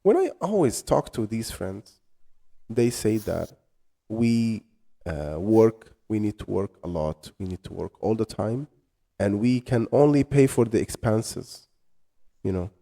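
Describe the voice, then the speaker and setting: A man's voice: lowish voice, big pitch swings, measured pace, creaky, warm tone. Egyptian man coaching English conversation. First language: Arabic. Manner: storytelling, quoting a friend, asks the learner questions. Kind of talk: casual conversation practice.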